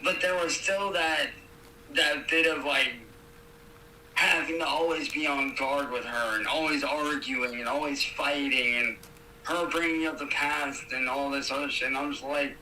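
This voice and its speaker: A man's voice: fairly high pitch, steady tone, unhurried, sharp tone. A young man chatting casually and slangily on an echoey, distant, noisy phone recording. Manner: slangy, swearing. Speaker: caller.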